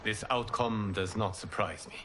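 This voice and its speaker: A man playing a solemn, noble lord who presides over a martial tournament in a game, speaking formally and with measured command. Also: lowish voice, steady tone, slight background noise. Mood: solemn, authoritative. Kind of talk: video game cutscene acting. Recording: loud cinematic music behind.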